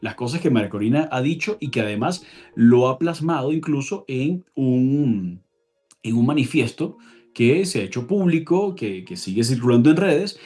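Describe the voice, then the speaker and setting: A man's voice: mid-range voice, expressive, normal speed, mellow, breathy edge, faint music behind. A man giving spoken political analysis as an interviewed expert, explaining emphatically and often repeating and correcting himself.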